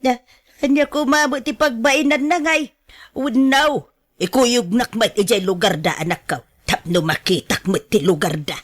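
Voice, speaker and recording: A woman playing a blunt, disapproving mother of a grown son in a radio drama, scolding and complaining in a drawn-out way. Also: a little high, close to an everyday voice. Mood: nagging. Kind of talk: Ilocano radio drama acting.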